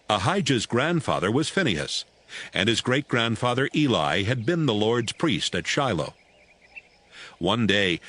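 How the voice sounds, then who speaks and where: Low-voiced male scripture narrator. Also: fairly bright tone. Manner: measured, storytelling.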